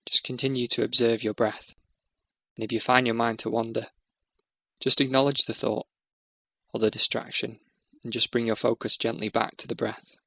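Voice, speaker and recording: Gravelly, even-toned male meditation guide. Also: medium pitch, dry recording. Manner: soothing, measured, instructional.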